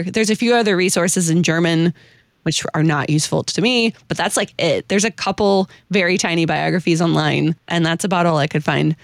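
A woman's voice: lowish voice, no room sound, studio quality. A woman hosting a podcast, telling a researched story in a chatty, enthusiastic way with self-deprecating asides. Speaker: podcast host. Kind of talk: casual, researched storytelling to co-hosts.

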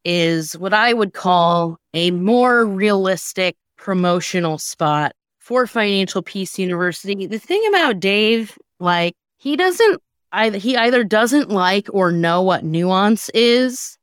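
A woman's voice: medium pitch, lively intonation, slow pace, clear, resonant tone, dry recording, studio quality. A woman hosting a talk show, telling personal stories in a candid, emphatic, colloquial way. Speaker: podcast host introducing comedy sketches. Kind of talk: casual personal storytelling.

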